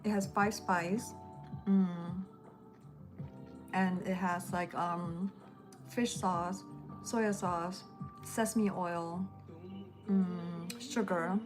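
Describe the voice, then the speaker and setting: A woman chatting casually on a livestream from home as a home cook, rambling in a relaxed way while she packs and snacks. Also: on the low side, drawn-out words, long pauses. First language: English. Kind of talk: casual live-stream chat. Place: home, indoors. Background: lo-fi background music.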